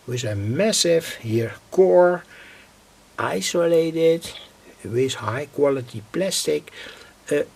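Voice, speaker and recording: Slow gravelly man at his workbench. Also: mid-range voice. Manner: rambling, self-correcting, thinking aloud. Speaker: radio hobbyist demonstrating equipment. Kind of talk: casual workbench demonstration.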